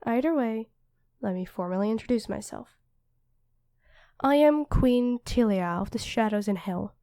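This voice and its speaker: A woman's voice: fairly high pitch, a little slow, mellow, smooth, no echo, studio quality. A woman playing a feisty, protective demon queen who is a devoted girlfriend, commanding yet affectionate, in an ASMR roleplay. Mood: tender, earnest.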